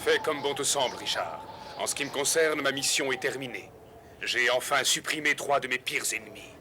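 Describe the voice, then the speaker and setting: A man voicing a loyal, seasoned outlaw companion in a French animated dub, matter-of-fact and self-assured. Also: mid-range voice, normal speed, background noise, natural voice. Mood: satisfied, calm.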